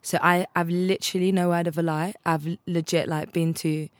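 A woman's voice: on the low side, level tone, brisk, dry recording. A twenty-one-year-old woman, chatting casually as an interview guest, laughing often and acting out the voices in her stories.

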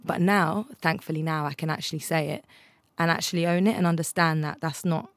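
A young woman tells her story in a chatty, casual way. She laughs easily and slips into voices to act out what others said. Her voice sits a little low, and the sound is close and dry. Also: fairly even intonation, brisk, dry recording. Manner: chatty, laughs often, acts out quoted voices. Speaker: interview guest.

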